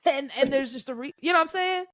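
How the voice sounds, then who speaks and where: A woman's voice: high-pitched, fairly quick, a bit bright, no room sound. Brief: woman podcast host, reflective and affirming, dry close-mic sound. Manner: thinks aloud with restarts, affirming "that's right", "amen". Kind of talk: reflective faith-centered casual chat.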